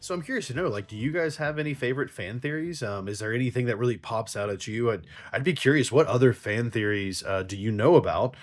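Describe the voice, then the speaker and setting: A man's voice: medium pitch, quick pace, few pauses, soft background music. A man talking casually and enthusiastically to viewers like a geeky fan vlogger, chatting through handheld virtual pet games.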